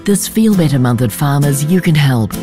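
A woman giving a calm, earnest scripted advert voice-over. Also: low-pitched, normal speed. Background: guitar music.

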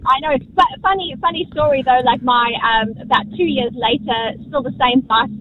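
A woman's voice: sits high, moderate pace. A middle-aged woman with a South African accent, calling a radio show to tell a story in a hesitant, casual way full of ums. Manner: hesitant, many ums, laughing. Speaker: radio caller. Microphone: phone line. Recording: muffled phone call with road noise.

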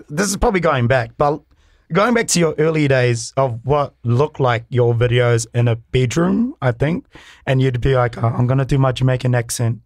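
A man's voice: medium pitch, normal speed, no echo, clean studio sound. A man with a New Zealand accent co-hosting a radio show, chatting casually and effusively in informal slang. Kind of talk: casual radio interview chat.

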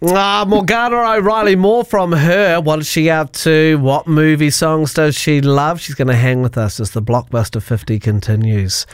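A woman's voice: low-pitched, fairly even intonation, normal speed, few pauses, smooth, no echo, studio quality. A woman radio host presenting a countdown show in an upbeat, announcer style. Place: radio studio.

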